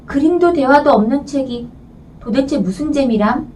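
A woman's voice: high voice, normal speed, a little mellow, recorded from a distance, pitched above the performer's own voice, natural voice. A woman voicing a curious, boastful little girl from a storybook, musing aloud to herself. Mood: bored.

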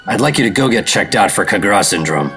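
A man's voice: on the low side, expressive, fast talker, over music, close to an everyday voice. An American man acting a terse, stubborn 1950s secret operative in a radio drama, with a dry, matter-of-fact delivery. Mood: firm, insistent.

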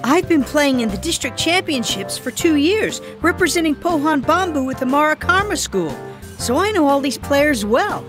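An earnest young boy explains things to his football team in a cartoon. The voice is high and lively, with light music playing behind it. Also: normal speed. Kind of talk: animated cartoon dialogue. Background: background music.

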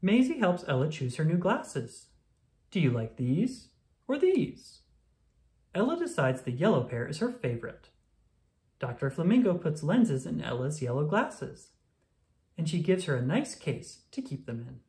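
A woman narrates a picture book for young children. Her voice sits low for a woman, with lively rises and falls, and she paces the sentences clearly. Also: normal speed. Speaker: storybook reader. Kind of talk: children's read-aloud narration.

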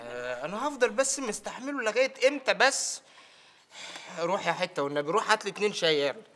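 A man's voice: high voice, big pitch swings, fast talker, a crowd in the background. A man playing a grumbling, put-upon shop assistant with an Egyptian accent. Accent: Egyptian Arabic. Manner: whiny, grumbling.

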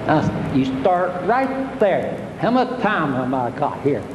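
A man's voice: on the high side, sing-song, slow, roomy sound, in a large hall, noisy background. An older man, giving a plainspoken, homespun fishing talk to an audience, repeating his points. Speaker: fishing instructor. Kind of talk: folksy seminar talk.

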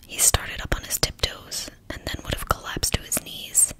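Bright, whispering woman storyteller. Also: normal speed. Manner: steady, hushed reading. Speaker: narrator. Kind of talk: whispered audiobook reading.

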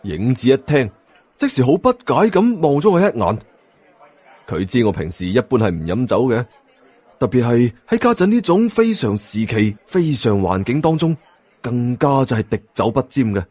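A man with a Cantonese accent narrating an audiobook in a dramatic, expressive storytelling style. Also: medium pitch, normal speed, husky, no room sound. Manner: expressive, acts out dialogue. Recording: music bed behind narration. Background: background music.

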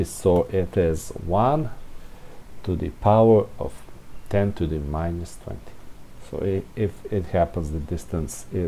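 Low, mellow, slow-talking man thinking aloud. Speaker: presenter of a programming tutorial. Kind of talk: informal unscripted screencast walkthrough of code. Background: room heater hiss.

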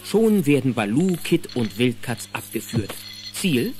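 A man's voice: mid-range voice, sing-song, a little slow, darkish tone. A man narrating a children's audio drama in a dramatic, playful scripted storytelling style. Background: faint music.

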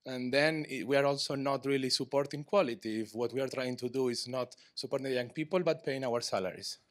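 Male audience speaker, thirties, non-native English, medium pitch, unscripted conference contribution. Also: fairly quick, slight background noise. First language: not English. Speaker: youth worker speaking from the audience. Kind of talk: spontaneous comment at a conference. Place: conference room full of seated people.

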